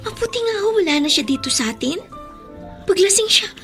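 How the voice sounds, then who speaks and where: A woman's voice: very high voice, expressive, fairly quick, fairly bright tone, full character voice. A woman playing a caring, protective schoolgirl daughter in Filipino radio drama, earnest and respectful. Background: music under the voice.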